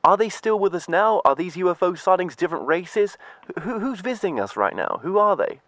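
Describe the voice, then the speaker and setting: A man's voice: sits high, big pitch swings, fairly quick, a bit bright, no echo. A man hosting an interview, asking questions in a casual, searching way. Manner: questioning, casual with fillers and restarts. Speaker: interviewer host. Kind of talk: radio interview.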